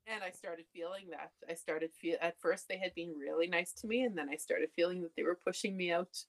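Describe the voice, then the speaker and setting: A young adult woman from Canada, telling her story candidly in a relaxed conversation. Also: lowish voice, a little fast. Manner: candid storytelling, laughs often. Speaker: podcast guest. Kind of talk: casual conversation.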